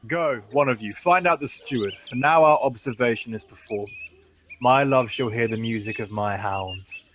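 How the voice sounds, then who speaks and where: A proud, commanding duke acted by a man declaims Shakespeare for radio. His voice is medium in pitch, with faint birdsong noise behind it. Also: moderate pace, fairly bright tone, lightly acted. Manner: grand, authoritative declaiming.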